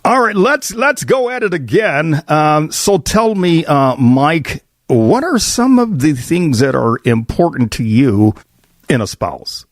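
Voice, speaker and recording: A man, a bishop hosting a podcast roundtable, asking questions in deliberate, formal phrasing. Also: fairly high pitch, big pitch swings, dry recording, studio quality. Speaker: podcast host. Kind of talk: roundtable interview.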